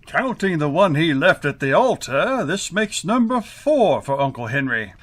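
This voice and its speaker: An actor performs a grouchy middle-aged man, a school principal in a radio comedy. He talks slowly and formally, with pompous swings of pitch. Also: big pitch swings. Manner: pompous, formal, hesitant when cornered. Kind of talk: old-time radio sitcom acting.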